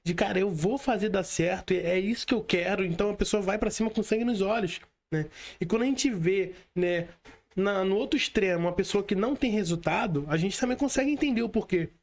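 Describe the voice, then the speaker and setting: A man's voice: high voice, brisk. A man with a Brazilian Portuguese accent, a podcast host talking in a chatty, casual way and filling his talk with tag questions. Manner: chatty, filler-heavy, says "cara" and "né" often.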